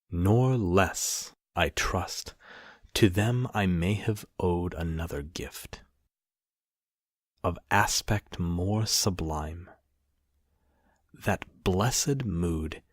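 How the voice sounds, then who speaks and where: A man with a husky, breathy voice gives a solemn poetry reading in measured short phrases with pauses between them. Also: low voice, no echo, clean studio sound. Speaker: poetry reader.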